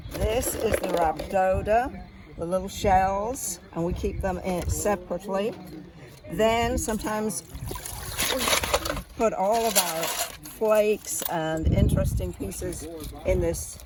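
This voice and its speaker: A woman's voice: mid-range voice, slow pace, distant mic, heavy background noise. A woman from England, a volunteer at a dig, chatting casually about sorting finds and laughing at herself. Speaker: team volunteer. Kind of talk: casual explanation on camera. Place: outdoor archaeology dig site.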